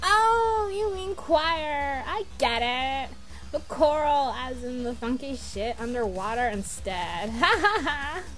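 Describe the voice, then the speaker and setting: A woman voicing an exuberant, brash young troll gamer girl who talks in drawn-out slang in an amateur cartoon fandub. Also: fairly high pitch, fairly even intonation, close to an everyday voice, poor audio. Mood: amused. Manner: exuberant surfer-style slang, drawn-out exclamations. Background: music.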